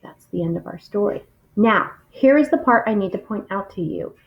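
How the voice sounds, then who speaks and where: A woman's voice: medium pitch, animated, normal speed, creaky, highs cut off. A woman reading aloud expressively, in the style of a literary read-along.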